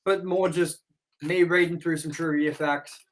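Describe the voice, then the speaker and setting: A man's voice: fairly high pitch, fairly even intonation, unhurried. A man co-hosting a casual movie review chat, rambling with lots of fillers and "you know". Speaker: co-host.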